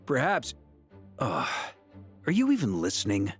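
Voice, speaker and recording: A man's voice: medium pitch, huge pitch swings, unhurried, slightly rough, faint music behind, exaggerated voice. A man voicing an exasperated middle-aged knight commander in a video game, chiding with fatherly authority. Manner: chiding, sighing, avuncular authority.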